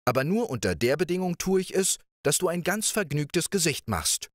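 A man voicing a cheerful, carefree young princess with a playful, lively way of speaking. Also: on the high side, moderate pace, no echo, clean studio sound, voice pushed up. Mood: teasing. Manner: bright, playful, lively questioning.

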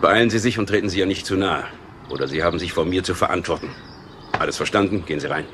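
A man's voice: deep voice, quick pace, natural voice. An actor playing a curt, commanding man who protects the star in a radio play. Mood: stern. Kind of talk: German radio play acting. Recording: noisy, with outdoor ambience.

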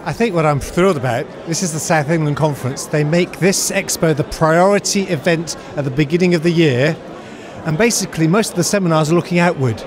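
A man's voice: a little high, a little fast. A middle-aged man answering an interviewer emphatically, with rhetorical questions, in a large church hall. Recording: crowd chatter behind the voice.

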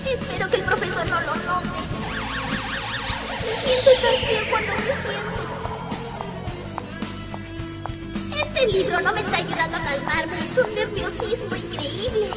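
A woman with a Latin American Spanish accent voicing an excitable, lustful, easily flustered teenage schoolgirl in an anime fandub. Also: extremely high pitch, normal speed. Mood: nervous. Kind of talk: anime fandub acting with inner monologue. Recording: music behind the voice.

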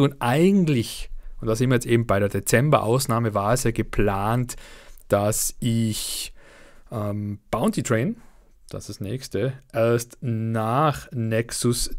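A man of middle pitch talks casually to his viewers from a home setup. His voice sounds slightly worn from a cold. He chats on with fillers and a pace that speeds up and slows down. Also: a little mellow. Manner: chatty, uneven pace with fillers. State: slightly under the weather. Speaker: let's play channel host. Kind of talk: casual monthly update to viewers.

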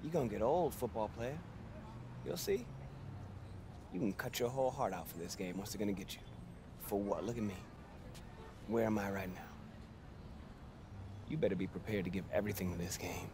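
A man's voice: lowish voice, moderate pace, long pauses, lightly acted. An actor playing a bitter, demanding father and worn-out former pro basketball player in dramatic game dialogue. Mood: bleak, intense. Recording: noisy outdoor ambience behind dialogue.

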